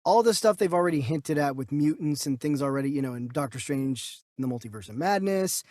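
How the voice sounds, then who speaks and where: A man co-hosting a casual discussion, reasoning through his opinions in a halting way and repeating himself. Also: a little high, expressive, darkish tone, dry recording, clean studio sound. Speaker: co-host of a movie news show.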